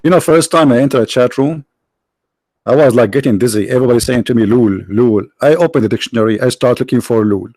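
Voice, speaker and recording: A man whose first language is Arabic hosting a live stream, talking sarcastically, halting often on fillers and now and then breaking into mock singing. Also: medium pitch, darkish tone, dry recording. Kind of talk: mocking religious debate stream.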